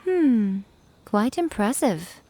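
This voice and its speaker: A woman's voice: on the high side, big pitch swings, slow, mellow, slight background noise. A woman voicing a sly, elegant fox shrine maiden in a video game, composed, haughty and playfully theatrical. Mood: amused.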